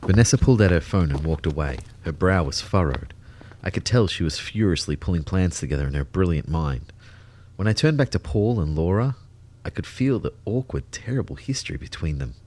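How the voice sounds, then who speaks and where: A man playing a melancholy ghost who narrates his own story in measured, reflective audio fiction. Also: low-pitched, lively intonation, a little mellow. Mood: observant. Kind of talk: first-person scripted story narration.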